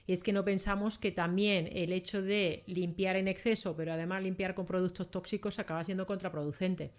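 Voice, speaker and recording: A middle-aged woman, a native speaker of Finnish, talks as an expert guest in a conversational interview. Her voice sits a little low, and she explains discursively, often adding small tag questions. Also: fairly even intonation, fairly quick. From Karelia, southeastern Finland. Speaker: interview guest, doctor and science communicator.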